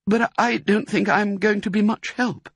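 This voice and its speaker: A man voicing a weak, weary mother fox who speaks softly and apologetically. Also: sits high, fairly even intonation, moderate pace, husky, no echo, studio quality, voice pushed up. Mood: apologetic. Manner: soft, apologetic.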